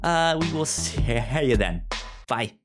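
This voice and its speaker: A man's voice: a little high, sing-song, takes it slowly, over music. A man co-hosting a podcast in casual chat, informal and speaking straight to the listeners. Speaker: podcast co-host.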